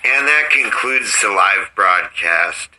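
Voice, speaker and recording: Male podcast host, casual and halting, very bright tone, close dry sound. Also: on the low side, expressive, no echo, rough recording. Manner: halting, repeats words. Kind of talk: casual, unscripted podcast talk.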